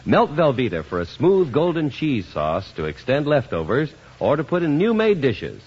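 A man's voice: medium pitch, wildly swooping pitch, moderate pace, darkish tone, breathy edge. A man announcing on 1950s radio, reading scripted program notes and sponsor copy in a polished, emphatic way. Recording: dull vintage radio, faint music.

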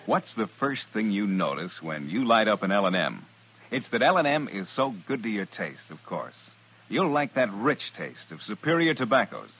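A man's voice: fairly low pitch, sing-song, moderate pace, a bit bright, slightly rough, rough recording. A man announcing a vintage radio advertisement in an emphatic selling manner. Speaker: commercial announcer.

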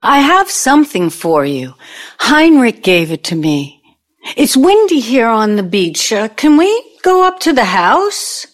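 A woman's voice: fairly high pitch, sing-song, unhurried. A woman playing a brash, nostalgic, scheming older former girlfriend in an audio play, with a knowing, theatrical manner. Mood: nostalgic, insinuating.

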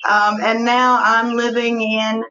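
Southern woman, slow easygoing talk, kinda high voice, muffled lo-fi audio. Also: flat delivery, crowd noise. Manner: slow, warm storytelling with fillers. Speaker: guest. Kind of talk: casual interview.

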